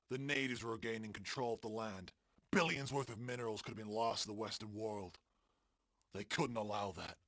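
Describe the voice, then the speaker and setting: A man playing a sombre former mercenary, delivering a measured, solemn confession in an audio drama. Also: fairly low pitch, fairly bright tone, no room sound. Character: sombre male ex-mercenary confessing on a recorded journal. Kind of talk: scripted audio drama monologue.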